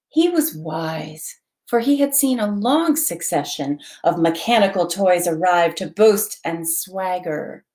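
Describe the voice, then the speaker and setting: A woman reads a children's story aloud in an expressive, dramatic storytelling manner. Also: mid-range voice. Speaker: volunteer storytime reader, library board member.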